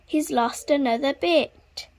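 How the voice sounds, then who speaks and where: A woman with a fairly high voice reads a picture book to young children. She takes her time, pacing each phrase slowly and leaning dramatically on repeated words. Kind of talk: expressive read-aloud storytelling for young children.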